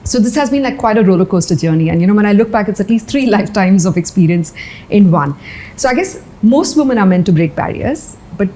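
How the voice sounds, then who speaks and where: Earnest woman from india, mellow warm voice, reflective interview talk, lots of "you know". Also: medium pitch, lively intonation, fast, slight background noise, rough recording. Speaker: interview guest. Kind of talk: candid personal interview.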